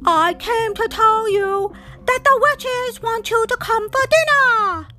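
A woman's voice: very high-pitched, slow, loud music behind the voice, voice pushed up. A woman voicing a helpful male crow in a storybook reading, in an emphatic, cawing voice. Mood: eager.

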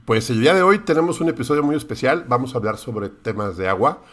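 A man's voice: medium pitch, animated, fast talker, a bit bright. Hesitant male podcast host. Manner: hesitant, many restarts. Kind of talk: podcast interview.

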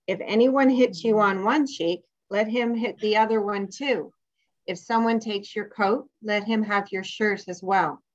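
A woman reading scripture aloud in church, with a loud, friendly, steady delivery. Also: mid-range voice, unhurried, dull audio. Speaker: scripture reader.

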